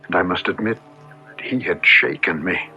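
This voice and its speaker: An actor playing a haunted, earnest man in a radio drama, speaking gravely in formal, literary phrases. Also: deep voice, normal speed, bright, forceful tone, exaggerated voice. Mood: grave, troubled. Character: haunted, earnest man trapped in a transformed body. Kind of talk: 1970s radio drama acting, first-person narration and dialogue. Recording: dull old radio broadcast recording. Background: dramatic music.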